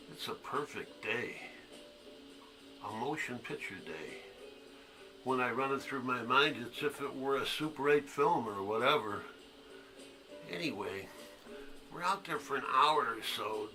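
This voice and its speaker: A man's voice: mid-range voice, lightly acted, rough recording. An actor playing a bitter, foul-mouthed man in a conversational dramatic monologue, like someone telling a barroom tale, with music behind him. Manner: profane, conversational storytelling. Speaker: actor in a one-act play.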